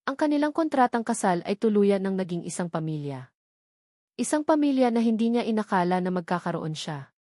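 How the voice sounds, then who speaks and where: A woman's voice: mid-range voice, very animated, brisk, dark tone, dry recording, clean studio sound. A woman with a Filipino accent reading a scripted dramatic story as its narrator.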